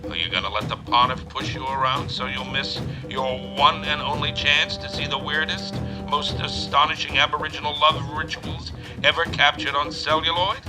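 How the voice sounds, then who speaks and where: A man's voice: mid-range voice, close to an everyday voice. A man playing a brash, grandiose exploitation-film producer, boastful and hammy like a carnival barker. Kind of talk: 1950s showman's pitch and memoir-style storytelling.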